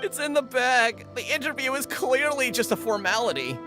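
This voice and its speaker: Excitable, high-pitched young gang underling. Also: female voice, moderate pace. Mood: worried. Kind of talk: animated video game voice acting. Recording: music behind the voice.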